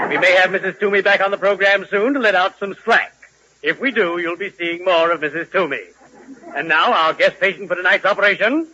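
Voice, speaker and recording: A man's voice: high voice, pushed and bright. A man playing a pompous professor with a formal showman's patter in 1940s radio comedy. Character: brisk, pompous clinic professor presenting operations like a showman. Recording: dull, narrow vintage radio broadcast.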